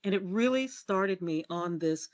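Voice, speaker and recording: A middle-aged woman journalist from the US, telling candid stories as a podcast guest in a low, resonant voice. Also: a little slow, no echo. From United States. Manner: lively, candid and self-deprecating, laughs often. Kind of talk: casual storytelling interview.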